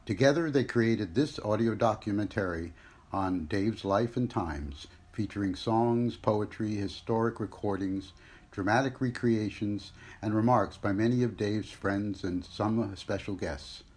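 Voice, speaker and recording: A man's voice: on the low side, unhurried, darkish tone, slightly rough. A man reading scripted narration as the narrator of an audio documentary.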